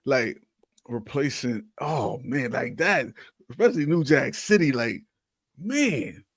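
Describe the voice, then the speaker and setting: A man's voice: mid-range voice, big pitch swings, slow pace, slightly rough, dry recording, studio quality. An American man co-hosting a podcast, chatting casually and informally with friends. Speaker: podcast co-host. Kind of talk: casual banter among friends.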